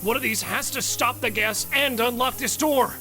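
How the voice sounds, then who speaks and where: A man's voice: very high voice, moderate pace, sharp tone, soft background music, heavily acted. A man playing a wounded but protective male killer in a horror audio drama, strained, emotive and urgent. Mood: urgent, determined.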